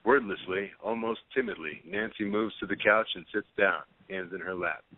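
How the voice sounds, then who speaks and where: A man's voice: fairly low pitch, sing-song, moderate pace, vocal fry. A man reading stage directions as scripted narration for a play, with narrow phone-like sound.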